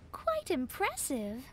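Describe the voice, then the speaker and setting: A woman's voice: high-pitched, a little hiss or hum, full character voice. A woman playing a proud, refined young astrologist in a video game, self-assured and precise. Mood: impressed. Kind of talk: video game character acting. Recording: music behind.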